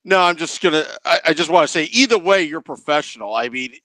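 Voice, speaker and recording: A man's voice: fairly high pitch, lively intonation, quick pace, fairly bright tone, no echo. A man hosting a casual podcast interview, talking in an enthusiastic, rambling, fan-like way. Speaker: podcast host.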